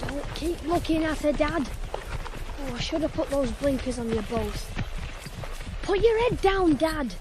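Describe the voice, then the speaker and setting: An earnest, stubborn young farm lad from a radio play, high in pitch and rustic. The old broadcast recording is clearly noisy. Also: male voice, animated, a little slow, long pauses. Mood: angry. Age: young adult. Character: stubborn young ploughboy, devoted to his horses. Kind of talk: 1980s radio drama acting.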